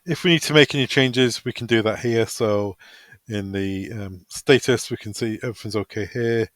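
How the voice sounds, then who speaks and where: A man's voice: lowish voice, moderate pace, dry recording. Man giving a dry, close-miked tutorial. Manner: informal, practical, instructional. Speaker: product tutorial presenter. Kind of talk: step-by-step software walkthrough, semi-improvised.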